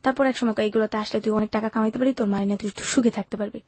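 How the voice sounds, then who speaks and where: Fast-talking young Bangladeshi woman telling her story. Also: fairly high pitch, fairly even intonation, a little mellow, slightly rough, no room sound. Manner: colloquial, recounts events with quoted dialogue. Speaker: interview guest telling her life story. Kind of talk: candid personal storytelling in conversation.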